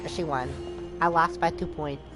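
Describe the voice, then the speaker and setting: A woman's voice: deep voice, fairly even intonation, unhurried. A woman streamer giving casual, chatty gameplay commentary, acting out her own thoughts as she goes. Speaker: gaming streamer. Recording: game music behind the voice.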